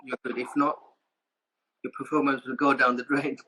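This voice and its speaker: A man hosting an interview, agreeable and halting, asking a guest questions. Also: medium pitch, flat delivery, pushed and bright, husky, low-quality recording. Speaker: interview host. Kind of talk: casual interview chat.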